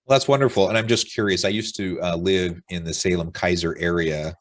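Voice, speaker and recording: An American man with a low voice works as an interview host on a professional webinar. He sounds upbeat and enthusiastic, moving between prepared introductions and conversational questions. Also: steady tone, fairly quick. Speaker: podcast host. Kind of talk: webinar interview hosting, partly reading prepared notes.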